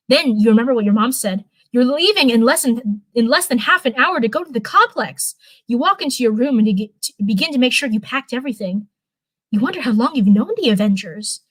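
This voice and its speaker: A woman's voice: a little high, no echo, low-quality recording. A woman reading a story aloud as narration, now and then stumbling over a word and going back over it. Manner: stumbles over words and rereads them. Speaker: live stream host reading fan fiction.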